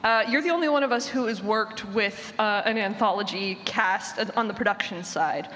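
A woman's voice: mid-range voice, normal speed, a bit bright. A woman speaking off the cuff as a panel moderator, putting questions to the guests. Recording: echoey hall, background noise.

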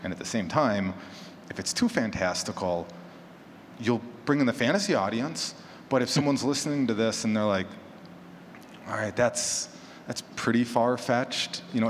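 A man's voice: mid-range voice, lively intonation, moderate pace. A man speaking casually on a conference panel as a podcaster, conversational and full of hypothetical examples. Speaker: panelist, horror fiction podcaster. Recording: echoey hall, clear background noise.

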